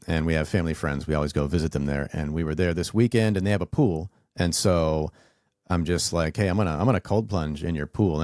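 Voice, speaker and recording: A man's voice: very deep voice, no echo, clean studio sound. A man in his forties hosting a podcast, chatting casually and rambling through stories with plenty of fillers. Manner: relaxed, rambling storyteller with many fillers. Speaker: podcast host. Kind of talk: casual interview chat.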